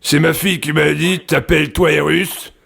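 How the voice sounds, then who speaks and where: Guy doing a construction worker prank caller, super croaky, kinda high, rambling and insistent. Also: fairly even intonation, moderate pace, fairly bright tone, full character voice. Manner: rambling, hesitant, pestering. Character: earnest rambling male construction worker starting a bar rock band. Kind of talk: improvised prank phone call.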